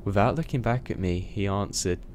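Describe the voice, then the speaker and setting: A young man narrating a scary first-person story in a steady, scripted read. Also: on the low side, a little fast, a little hiss or hum.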